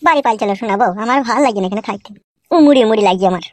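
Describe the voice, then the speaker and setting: A woman's voice: a little high, expressive, very fast, dry recording. A woman voicing a naive, chatty young village man in a comedy cartoon, speaking bluntly and colloquially. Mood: earnest.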